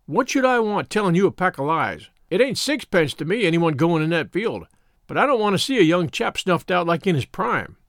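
A man's voice: high voice, very animated, a little fast, a bit bright, no room sound, clean studio sound, exaggerated voice. A man voicing a plain-spoken country shepherd in a story, earnest and cautioning, with rustic phrasing. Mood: stern warning.

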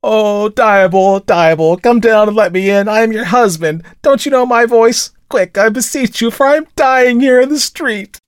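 Storybook hypochondriac notary, played by a male narrator: high, breathless, pleading, melodramatic. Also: moderate pace, hardly any pauses, clear, resonant tone, no room sound, studio quality, natural voice. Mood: frantic pleading. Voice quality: strained, breathless. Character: fear-stricken hypochondriac notary, groaning and frantic, convinced he is dying.

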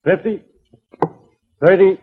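An actor playing a gruff, irritable police inspector in a 1940s radio detective drama, blustering and impatient, with a husky voice. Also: male voice, sits high, fairly even intonation, takes it slowly, heavily acted. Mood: smug, competitive. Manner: blustering, impatient, barking orders. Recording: dull lo-fi 1940s radio recording.

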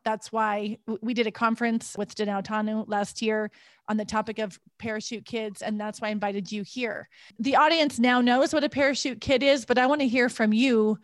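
A woman's voice: mid-range voice, no room sound. A woman hosting a podcast, asking clarifying questions and giving frequent short acknowledgements. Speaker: podcast host. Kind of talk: interviewing a guest.

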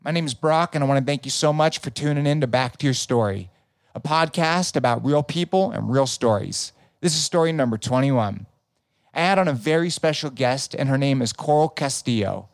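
A man's voice: mid-range voice, brisk, no echo, studio quality. A man hosting a podcast, chatting casually and upbeat with a guest, swearing now and then. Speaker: podcast host. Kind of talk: casual interview chat.

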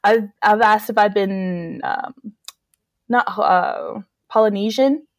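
A woman co-hosting a casual podcast, upbeat and relaxed, with frequent fillers. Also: mid-range voice, slow pace, dry recording, clean studio sound. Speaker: podcast co-host.

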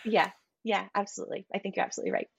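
A woman's voice: medium pitch, huge pitch swings, very fast, creaky, muffled recording, slight background noise. A woman marine ecologist answering interview questions in a thoughtful, explanatory way, often restarting her sentences.

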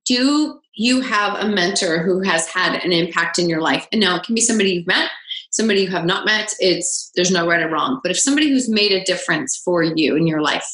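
A woman's voice: fairly low pitch, expressive, a little fast, fairly bright tone. A woman hosting a podcast interview, asking questions and praising answers enthusiastically. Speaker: podcast host. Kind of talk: casual interview. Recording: echoey room.

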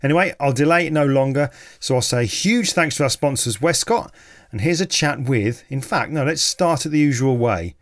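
A man hosting a casual interview show, his pitch rising and falling a lot as he talks. He asks his questions in a chatty, rambling way, circling before he gets to the point. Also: expressive, normal speed. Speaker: podcast host.

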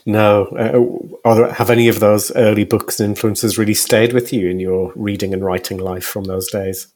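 A man's voice: lowish voice, fairly even intonation, normal speed, darkish tone. A man hosting a conversational podcast interview, framing his questions in a loose, hesitant way with repeated words.

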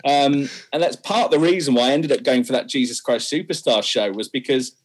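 A man's voice: medium pitch, brisk, slightly rough. A young man, a singer, talking candidly about his music in an interview, self-deprecating and laughing often. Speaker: singer guest. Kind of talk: interview conversation.

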